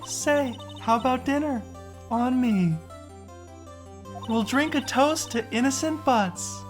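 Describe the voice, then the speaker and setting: A man voicing a poised, kindly woman who is a chief lawyer and mentor, measured and gently teasing, in a fan-dubbed game. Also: very high voice, faint music behind. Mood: playful. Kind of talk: fan-dub video game dialogue.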